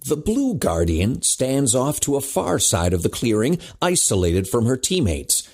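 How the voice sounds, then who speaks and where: A man narrating a scripted action drama with a husky voice. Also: mid-range voice, wildly swooping pitch, a little slow, a little mellow.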